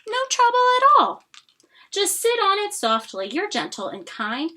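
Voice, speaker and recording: A lazy, self-pitying storybook bird voiced by a woman reader. The voice is high and swoops widely in a coaxing sing-song. It is bright and theatrical, made for young children. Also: huge pitch swings, a little slow, exaggerated voice. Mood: sweetly coaxing. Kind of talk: expressive children's storybook read-aloud.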